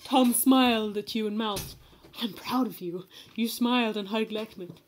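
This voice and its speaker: A woman's voice: fairly high pitch, normal speed, noisy background. A woman giving a comedic, mock-dramatic reading of fanfiction, playful and giggly, breaking off to comment. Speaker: fanfiction reader.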